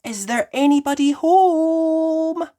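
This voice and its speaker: A woman's voice: very high voice, takes it slowly, dark tone, voice pushed up. A woman voicing a polite, friendly mother pig in a children's storybook with a sing-song delivery. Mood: calling out. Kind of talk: read-aloud children's storybook voice.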